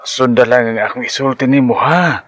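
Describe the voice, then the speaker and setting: A man's voice: medium pitch, normal speed, a bit bright, lightly acted. An actor playing a pushy, amorous man of sixty-three in a radio drama, with a Central Visayan Filipino accent. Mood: coaxing, lecherous. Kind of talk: Cebuano radio drama acting.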